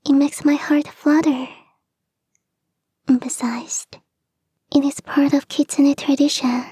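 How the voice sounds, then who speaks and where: Breathy, whispering, clingy fox-spirit girlfriend, acted by a woman. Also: high voice, dark tone, no room sound, full character voice. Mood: doting, teasing. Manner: coy, doting, playfully teasing. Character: clingy, affectionate, teasing young female fox spirit girlfriend. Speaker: voice actor in a roleplay. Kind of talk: ASMR roleplay, intimate and whispered.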